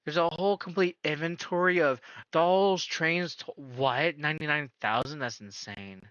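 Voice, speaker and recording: A man streaming a casual reaction, his voice bright and pushed forward. He keeps asking why in disbelief. The sound is dry and a bit dull, like a modest home setup. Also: on the high side, no room sound. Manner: incredulous, asks rapid repeated questions.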